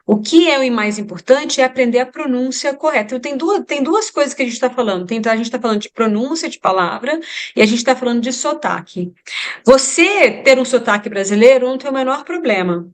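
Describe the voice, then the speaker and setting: A woman's voice: sits high, expressive, fairly quick. A Brazilian woman with a Brazilian Portuguese accent, an English teacher giving advice in an interview, emphatic and repeating her points for emphasis.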